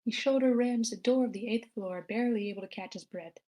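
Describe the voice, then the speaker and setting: A woman's voice: fairly high pitch, quick pace, mellow, poor audio. A woman reading stage directions as the narrator of a screenplay table read. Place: online meeting, own room.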